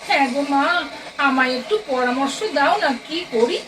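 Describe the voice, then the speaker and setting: A woman's voice: high-pitched, normal speed, recorded from a distance, noisy background, close to an everyday voice. A woman playing an anxious, chatty middle-aged Bengali mother in an audio play, fretful and exclamatory with a homely scolding manner. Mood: fretful, fearful.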